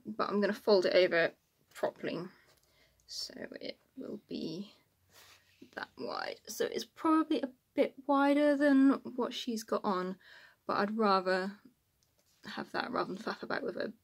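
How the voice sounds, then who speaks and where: A woman's voice: mid-range voice, animated, a little slow, gravelly. A woman, chatting casually and informally to camera as a sewing vlogger in a small room at home.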